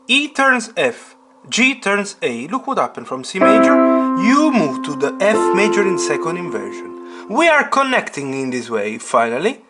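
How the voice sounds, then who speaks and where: A man hosts an instructional piano tutorial in a slightly high voice that swings widely in pitch. He explains step by step, stressing key words and checking in with rhetorical questions. Loud piano sounds alongside his speech. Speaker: piano teacher, channel host. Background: piano chords played as demonstration.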